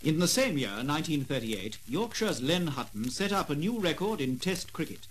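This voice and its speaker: A man giving formal, measured documentary narration in a 1950s style on an old gramophone record. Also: a little high, very animated, slight background noise, poor audio. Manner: formal, measured announcer delivery. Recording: vintage 78rpm gramophone record.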